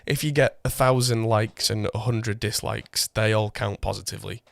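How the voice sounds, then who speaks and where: A man in his thirties hosts a relaxed podcast from a home studio, chatty and self-deprecating. His voice sounds slightly hoarse from a cold. He speaks close to a condenser mic in a dry, dead room. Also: lowish voice, normal speed, no echo. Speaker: podcast host. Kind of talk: casual chat. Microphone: condenser mic through an audio interface.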